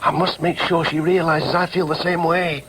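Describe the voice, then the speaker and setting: A man's voice: fairly high pitch, level tone, moderate pace, a bit bright, slight background noise, rough recording. A man playing an insecure, argumentative young adult son in a radio drama, explaining emphatically and questioning himself anxiously. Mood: wounded, hopeful. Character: argumentative, insecure grown son, theorising then doubting himself. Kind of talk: British radio drama acting with inner monologue.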